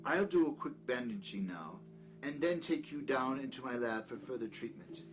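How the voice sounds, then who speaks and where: A man's voice: mid-range voice, lively intonation, moderate pace, close to an everyday voice. Peculiar doctor male actor, audio drama. Outwardly gracious, secretly sinister, domineering, very varied intonation, faint music behind. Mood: apologetic, attentive. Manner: courteous, old-fashioned, commanding when crossed. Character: peculiar, scheming doctor, outwardly courteous, domineering to his servant.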